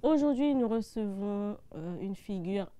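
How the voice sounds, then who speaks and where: A woman's voice: mid-range voice, expressive, a little slow, mellow. A woman hosting an interview show, welcoming her guest and asking questions in an upbeat way. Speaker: interview host.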